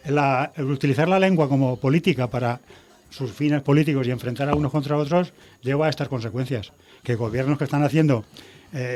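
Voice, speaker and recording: A man's voice: on the high side, darkish tone. A middle-aged man from Cheste, hosting a radio talk show and arguing his views in a rambling way with many restarts. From Cheste, Valencia, Spain. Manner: rambling, restarts words, argues with everyday comparisons. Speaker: radio host.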